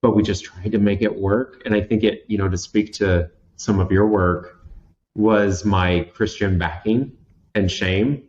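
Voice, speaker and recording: A man's voice: fairly low pitch, fairly even intonation, measured pace, darkish tone, dull audio, a little hiss or hum. A man in his thirties from Washington State, telling a personal story as an interview guest in a theatrical, self-mocking way with many restarts. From Washington State, USA. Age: 30s. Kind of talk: candid storytelling in conversation.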